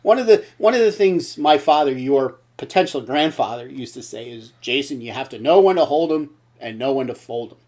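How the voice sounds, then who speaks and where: A man's voice: mid-range voice, animated, brisk, few pauses, darkish tone. A middle-aged man hosting a casual comedy chat show, blunt and joking as he rambles. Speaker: host.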